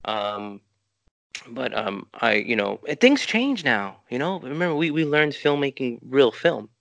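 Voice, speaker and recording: A man's voice: mid-range voice, big pitch swings, measured pace, gravelly, a bit bright, dry recording, muffled recording. A middle-aged man with a New York accent, a filmmaker rambling through interview answers with many restarts and "you know" fillers. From New York City. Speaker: filmmaker guest.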